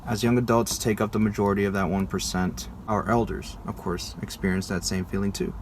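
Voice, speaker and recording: Young American man, vlog presenter. Low-pitched, conversational and informal, with faint ambient noise behind him. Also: level tone, brisk. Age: young adult. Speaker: video creator presenting a school project. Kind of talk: casual presentational vlog narration.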